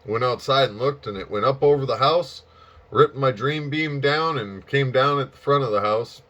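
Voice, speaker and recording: A man with an average-pitched voice chats casually as a ham radio operator. He talks haltingly and in a folksy storytelling way. The recording is somewhat dull and muffled. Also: fairly bright tone. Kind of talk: casual radio contact chat.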